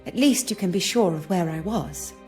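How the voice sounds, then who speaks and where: A woman's voice: lowish voice, moderate pace, a little mellow, natural voice. A woman voicing a defensive young journalist in a video game, polite and guarded under questioning. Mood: rattled, defensive. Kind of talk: scripted video game dialogue acting. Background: music.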